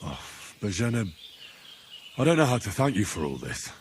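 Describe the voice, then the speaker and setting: An actor playing a modest young commoner hero who speaks plainly with a dry sense of humor, in a game cutscene. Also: male voice, on the low side, very animated, normal speed, a little hiss or hum. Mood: grateful. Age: young adult. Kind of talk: video game cutscene acting.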